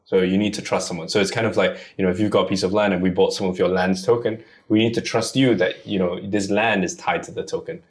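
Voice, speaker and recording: A man from Singapore speaks in a deep, low voice as a podcast guest. He explains things persuasively and at length, stacking one example on another in long lists. His delivery sounds like a relaxed interview conversation. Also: fast, few pauses. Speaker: guest, tech founder.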